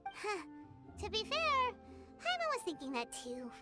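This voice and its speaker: Squeaky, perky little fairy companion. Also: female voice, wildly swooping pitch, a little slow, loud music behind the voice, heavily acted. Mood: surprised. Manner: perky, exclamatory. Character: tiny childlike female fairy companion, perky, boastful, blunt. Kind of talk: video game character acting.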